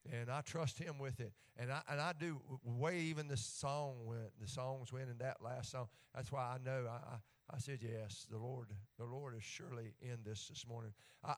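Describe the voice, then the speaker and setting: A man with an Appalachian accent preaching a sermon in a folksy, joking way that rises to fervent emphasis when he reads scripture. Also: medium pitch.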